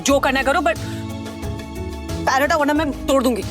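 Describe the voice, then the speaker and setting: A woman's voice: sits high, normal speed, music in the background, close to an everyday voice. A woman acting in an audio drama as an irritated, haughty young woman with a dismissive manner. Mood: annoyed, scornful. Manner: sharp-tongued, dismissive.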